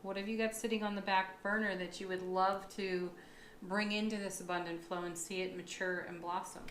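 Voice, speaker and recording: A woman's voice: mid-range voice, level tone, normal speed, fairly bright tone, slight background noise. A woman with a slightly froggy, tired voice gives a tarot reading, upbeat and affirming, with thoughtful asides. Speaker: tarot reader. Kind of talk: monthly astrology tarot reading. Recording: echoey room with faint ambience.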